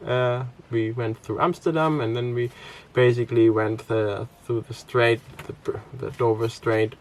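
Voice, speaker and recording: Relaxed young man, hesitant vlog talk. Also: mid-range voice, measured pace, rough recording. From Greece. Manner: relaxed, hesitant with many fillers and restarts. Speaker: sailing vlog host. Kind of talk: casual vlog narration.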